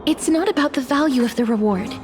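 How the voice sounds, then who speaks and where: A woman playing a gentle, solemn young woman in a video game, speaking in an earnest, hesitant way. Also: sits high, fast, close to an everyday voice. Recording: music behind the voice.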